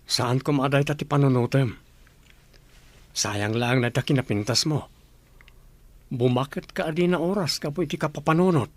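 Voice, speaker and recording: A man's voice: mid-range voice, normal speed, pauses often, no echo, close to an everyday voice. An actor playing a kind, attentive young working man in an Ilocano radio drama. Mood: tender, concerned.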